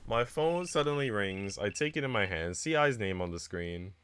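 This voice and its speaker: A man's voice: fairly low pitch, lively intonation, normal speed, a bit bright, a little hiss or hum. A man reading visual novel narration aloud in a live, casual way, now and then restarting a line.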